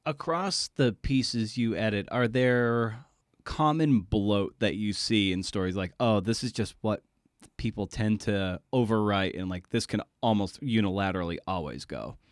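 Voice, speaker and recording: A podcast host, a man with a lowish voice recorded very dry. He talks casually and conversationally, with a slangy, irreverent streak. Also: measured pace, dry recording.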